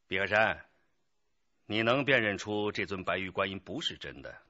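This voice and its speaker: An actor playing a stern, earnest young police detective lecturing a suspect in a radio drama. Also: male voice, on the low side, pushed and bright, breathy edge, full character voice. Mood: confident, probing. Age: 20s. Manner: emphatic, lecturing. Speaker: police interrogator. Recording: dull, muffled lo-fi audio.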